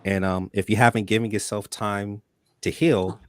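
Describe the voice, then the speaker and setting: A man hosting a live online talk show, speaking in a relaxed, conversational way with casual slang. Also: lowish voice, moderate pace, dry recording, clean studio sound. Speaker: host.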